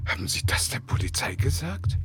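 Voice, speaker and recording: An older man, an actor playing an awkward, hesitant pensioner in a German radio crime drama, polite and halting. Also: medium pitch, big pitch swings, vocal fry. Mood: curious, earnest. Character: awkward, hesitant widowed pensioner turned amateur snoop.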